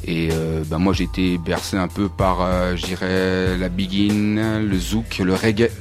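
A man's voice: deep voice, flat delivery, a little slow, faint music behind. A man speaking as a studio guest in a casual interview, informal and slangy in an easygoing way. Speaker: guest, rapper. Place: radio studio. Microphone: studio microphone with headphones.

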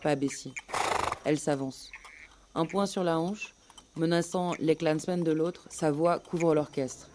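Low, mellow woman narrator. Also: fairly even intonation, measured pace, slight background noise. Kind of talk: scripted documentary storytelling for radio.

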